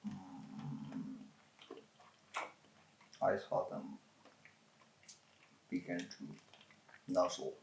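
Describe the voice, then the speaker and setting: Man playing a creaky, slow, evasive crime suspect. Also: monotone, roomy sound, a little hiss or hum, full character voice, poor audio. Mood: evasive, unbothered. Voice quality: low, creaky, hushed. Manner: halting, deliberate, evasive, murmuring hums. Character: evasive, slow-talking male suspect under questioning. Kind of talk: soft-spoken ASMR roleplay.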